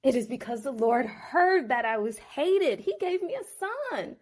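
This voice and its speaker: A woman's voice: high voice, big pitch swings, a little slow, pitched above the performer's own voice, heavily acted. A woman voicing a plaintive young wife hoping to win her husband's love, in a storybook audio drama. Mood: hopeful.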